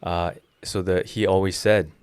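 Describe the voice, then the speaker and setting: A man's voice: deep voice, little pitch movement, a little slow, darkish tone, clear, resonant tone, dry recording, clean studio sound. A man in his thirties, a jiu-jitsu instructor, explaining his philosophy earnestly in a casual interview with frequent restarts. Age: 30s.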